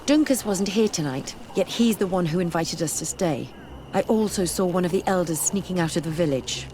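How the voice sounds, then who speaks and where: A woman's voice: fairly low pitch, lively intonation, brisk, warm tone, a little hiss or hum, natural voice. A woman acting a curious, determined, skeptical scholar in a video game, speaking thoughtfully and articulately.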